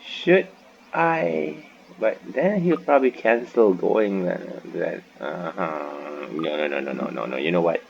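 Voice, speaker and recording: A man's voice: sits low, expressive, unhurried, creaky, slight background noise. A man casually commenting while playing a video game, rambling and muttering to himself. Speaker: gaming channel host. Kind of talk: casual let's-play commentary. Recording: dull lo-fi mic.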